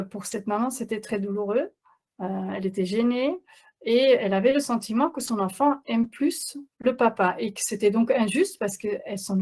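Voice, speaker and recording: A somewhat high-pitched woman coach presenting in a webinar. She is not a native speaker and talks in a halting way, full of pauses, fillers and restarts as she explains her examples. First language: not French. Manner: hesitant, many fillers and restarts. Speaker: parenting coach.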